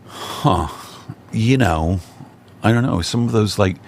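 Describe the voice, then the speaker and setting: Man from Cincinnati with a very low voice, dry wit, faint noise behind. Casual podcast guest. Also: animated, slow, darkish tone. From Cincinnati, Ohio. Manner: dry, self-deprecating humor. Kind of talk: casual chat.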